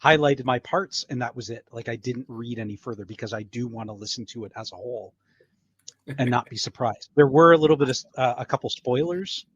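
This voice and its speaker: A man with a mid-pitched voice talks casually as an interview guest. The sound is close and dry but slightly dull and muffled. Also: a little fast, husky, no echo. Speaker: guest voice actor.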